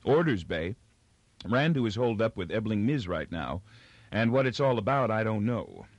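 A man's voice: lowish voice, very animated, moderate pace, no room sound. A man voicing an easygoing, plain-spoken, affectionate husband in an audiobook. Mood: casual, matter-of-fact.

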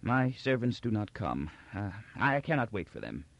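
Guy doing a frail forgetful old emperor for an audiobook, halting and quavery, really swoopy pitch, dry recording. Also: big pitch swings, a little mellow, dry recording, lo-fi sound. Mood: agitated, then vague. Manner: hesitant, muttering, forgetful. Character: doddering, gentle, confused elderly emperor.

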